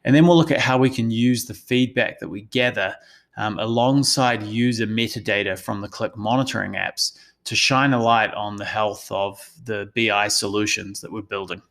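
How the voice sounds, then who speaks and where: Male presenter, New Zealand accent, lowish voice, professional and explanatory, for a business webinar. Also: normal speed, a bit bright. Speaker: analytics consultant presenting a session.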